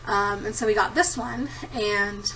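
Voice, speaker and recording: Bright, chatty woman in her thirties. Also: mid-range voice, steady tone, a little slow, distant mic, a little hiss or hum, low-quality recording. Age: 30s. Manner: chatty, giggly, rambling with many ums. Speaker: vlogger answering a tag. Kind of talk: casual chat to camera. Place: home room at a desktop computer. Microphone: computer camera mic.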